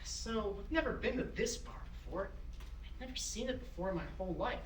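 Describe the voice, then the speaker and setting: A man's voice: high voice, brisk, close to an everyday voice. A man playing an earnest young boyfriend who is finding his way in life, in a staged play reading. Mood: friendly, curious. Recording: roomy, distant mic, audible noise.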